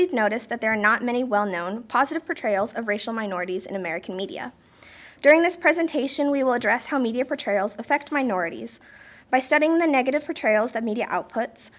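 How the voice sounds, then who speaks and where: A young woman presents at a student symposium, reading her prepared remarks in a careful, formal manner. Her voice sits a little high. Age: young adult.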